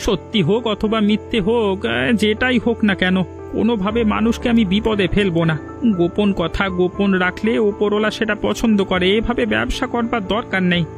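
A man's voice: high voice, fairly even intonation, a little fast, creaky, over music. A man voicing a weary, principled village father in a Bengali cartoon, grumbling as he talks. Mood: earnest, firm.

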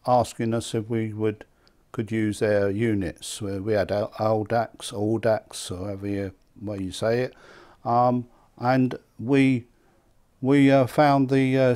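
A man in his seventies with an English accent, reminiscing conversationally in an interview, halting and repeating words. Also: mid-range voice, a little mellow. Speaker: loudspeaker maker being interviewed. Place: small indoor hi-fi demonstration room. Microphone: lapel mic.

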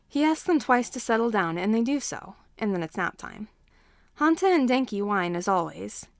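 A woman's voice: mid-range voice, no room sound. A woman narrating a scripted story as a fan fiction reader.

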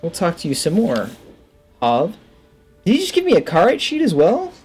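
Man, thirties, casual let's play host, lively intonation, musing to himself, headset mic, faint background music. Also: high voice, moderate pace, husky. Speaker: gaming video host. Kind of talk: casual let's play commentary, reading game text aloud.

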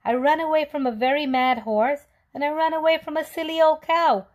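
A woman's voice: high voice, steady tone, a little fast, pitched above the performer's own voice, natural voice. A woman voicing a cheeky, boastful little gingerbread man in a sing-song storybook read-aloud, with an odd little voice. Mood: worried boasting. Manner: sing-song chanting, taunting.

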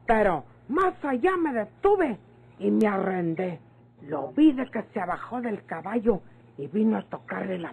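Animated rural Mexican woman. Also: mid-range voice, gravelly, breathy edge, full character voice. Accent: northern Mexican. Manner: earnest, rustic turns of speech. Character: pleading, protective, sharp-witted rural Mexican woman. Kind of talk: old Mexican radio drama acting. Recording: noisy old radio drama recording.

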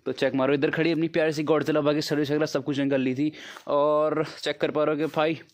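Talk to the phone like a young man showing friends his bike service, quick, loose and chatty. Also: a little high, level tone. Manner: chatty, calls viewers "bhai" constantly. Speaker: motovlogger. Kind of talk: casual vlog narration to camera. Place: bike service shop, outdoors. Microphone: phone camera.

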